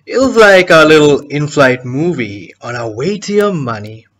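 A man performing a theatrical monologue as a smug, menacing schemer, taunting his victim in a deliberate, sardonic way. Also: a little high, sing-song, a bit bright, pitched above the performer's own voice. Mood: mocking.

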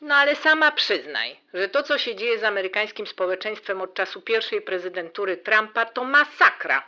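A woman's voice: mid-range voice, lively intonation, normal speed, bright, forceful tone, lo-fi sound. An actress playing a sassy, self-assured middle-aged woman who is flippant and ironic, in a naturalistic audio drama. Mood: indignant, mocking. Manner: breezy, ironic, teasing, with playful foreign words. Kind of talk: naturalistic audio drama acting, phone conversation.

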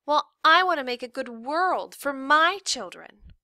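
A woman voicing an excitable, defiant ten-year-old girl in a story, indignant, dramatic and argumentative. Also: high-pitched, a little slow, no echo, clean studio sound, pitched above the performer's own voice, exaggerated voice.

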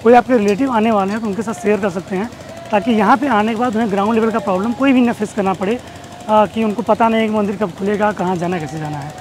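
A man, explaining things as a travel guide to camera, with pauses and English words mixed in. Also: high-pitched. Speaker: tour company host and guide. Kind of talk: informational travel vlog to camera. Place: outdoors on open riverside steps. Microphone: clip-on wireless lapel mic. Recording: noisy outdoor ambience, distant engines.

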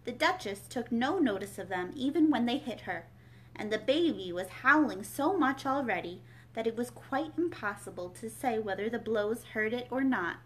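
A woman's voice: a little high, animated, moderate pace, low-quality recording. A young adult woman reading a children's novel aloud as a storyteller, recorded in a room at home. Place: small furnished room at home, indoors.

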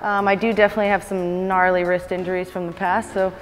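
A woman, chatting informally and brightly as a workout host. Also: on the low side, flat delivery, quick pace. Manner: upbeat, informal, slangy. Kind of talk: casual chat to camera. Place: gym. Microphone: lapel mic. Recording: echoey gym, music behind.

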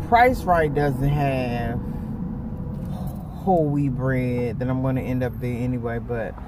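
A middle-aged woman talks casually to her phone camera in a car. Her delivery is slow, sassy and emphatic. The mic sits a little way off and there is loud vehicle and outdoor noise behind her. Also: rumbling low, recorded from a distance. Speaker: vlogger. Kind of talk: casual chat to camera, storytelling. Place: inside a car. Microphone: phone at arm's length or dash-mounted.

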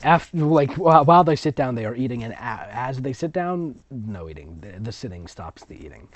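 Mocking story narrator, exasperated, lively intonation, close dry sound, slightly dull lo-fi audio. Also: medium pitch, moderate pace, dry recording. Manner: sarcastic, exasperated. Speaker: fan fiction reader and commentator. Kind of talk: mocking story reading with ad-libbed commentary.

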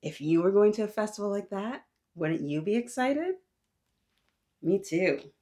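Expressive, slow-paced woman reading to children. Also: mid-range voice. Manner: expressive, talks to listeners as if they answer. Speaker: children's storytime host and reader. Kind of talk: animated read-aloud for young children.

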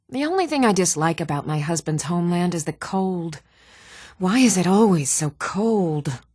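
A woman's voice: lowish voice, a little mellow, dry recording, lightly acted. Casting: a woman to play homely young wife in fantasy game, plain-spoken and sincere, close dry recording. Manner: conversational, sincere. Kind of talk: fantasy video game dialogue.

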